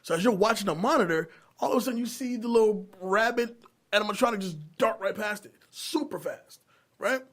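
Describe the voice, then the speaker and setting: A middle-aged American man hosting a casual podcast, telling stories in an animated, slangy, profane way. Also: high-pitched, fairly quick. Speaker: podcast host.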